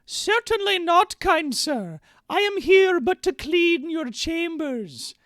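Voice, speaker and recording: A demure reptilian maid from a fantasy stage farce, played by a man in falsetto. The voice sits very high, prim and servile, protesting with exaggerated flustered politeness. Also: lively intonation, slow, a bit bright, no room sound, full character voice. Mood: coy refusal.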